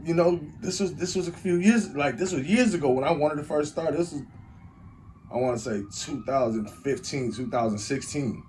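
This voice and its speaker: A young man with a Chicago accent, a rapper and engineer, telling stories in a rambling, animated way as an interview guest. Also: fairly high pitch, moderate pace, slight background noise, poor audio. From Chicago, USA. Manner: rambling, animated storytelling with lots of "like". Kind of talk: casual storytelling interview.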